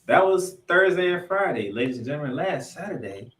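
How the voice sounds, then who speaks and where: A man hosting a sports podcast, recapping games in an animated, emphatic showman's delivery with dramatic announcements. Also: on the high side, brisk. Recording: echoey room, distant from mic.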